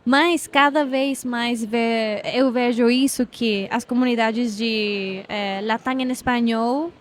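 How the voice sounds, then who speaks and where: A woman with a Spanish-speaking Latin American accent talks as a podcast guest, her voice sitting high. She hesitates often, filling gaps as she searches for words in a second language. Faint noise hums behind her. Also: steady tone, takes it slowly. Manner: hesitant, many fillers, mixes in Spanish grammar. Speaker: guest, developer relations lead. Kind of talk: casual interview chat. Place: event podcast set.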